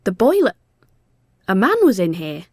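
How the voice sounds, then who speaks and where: A woman playing a cheerful, brisk female support worker in a dramatic story, with a bright, emphatic delivery. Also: medium pitch, huge pitch swings, husky, dry recording, clean studio sound, full character voice. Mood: startled concern.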